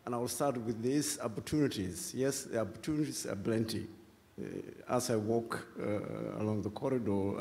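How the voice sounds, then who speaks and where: A man's voice: medium pitch, a little mellow. A man from Somalia in the audience, sharing a reflective comment at a conference. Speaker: audience member. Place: large conference hall. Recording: reverberant hall.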